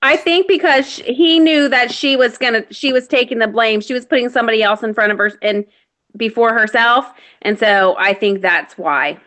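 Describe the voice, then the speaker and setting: A woman with a bright, crisp-edged voice pitched a little high joins a casual book chat from her laptop. She works through her ideas aloud as she explains them. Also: moderate pace. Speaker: book club member. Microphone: laptop on group video call.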